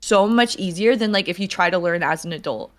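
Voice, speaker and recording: A woman's voice: on the low side, brisk. A young woman who streams, a guest in a casual podcast chat, bubbly and saying "like" constantly. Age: young adult. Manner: bubbly, laughs often, heavy use of "like".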